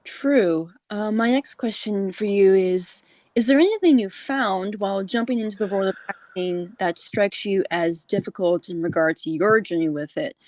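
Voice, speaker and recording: A woman's voice: mid-range voice, normal speed, a little mellow, poor audio. A woman hosting a conversational interview, informal and encouraging in the way she talks. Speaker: podcast host and actor.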